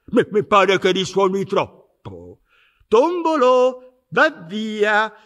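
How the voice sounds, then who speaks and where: A bombastic, high-pitched blustering puppet husband. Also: male voice, big pitch swings, slow pace, a bit bright, heavily acted. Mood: drowsy menace. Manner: bombastic, spluttering, stammering repetitions. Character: blustering, drunken, jealous puppet-show husband, boastful and violent. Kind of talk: theatrical farce acting.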